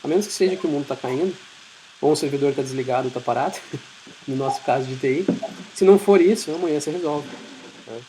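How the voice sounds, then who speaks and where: A man's voice: a little high, quick pace, long pauses, mellow, a little hiss or hum, low-quality recording. A man with a Brazilian Portuguese accent giving an informal, explanatory talk in a conversational way. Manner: conversational, explanatory, acts out short imagined dialogues. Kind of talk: informal presentation.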